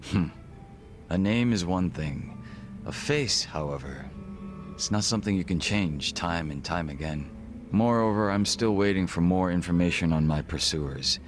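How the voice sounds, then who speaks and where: An actor playing a composed, formal gangster second-in-command in a video game cutscene, measured and reserved. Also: male voice, low voice, soft background music, close to an everyday voice. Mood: dryly composed.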